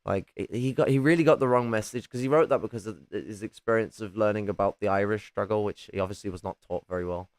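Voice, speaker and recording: A raspy man, chatting casually on a livestream with lots of restarts and casual swearing. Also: fairly low pitch, quick pace, few pauses, no echo. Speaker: streamer.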